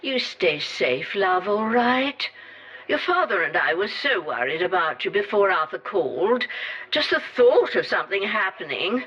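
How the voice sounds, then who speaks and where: A doting, chatty middle-aged British mum in an audio drama. Her voice lilts up and down brightly and affectionately through a slightly dull phone line. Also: medium pitch, big pitch swings, a little slow, husky, heavily acted. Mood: fond, worried. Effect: telephone filter.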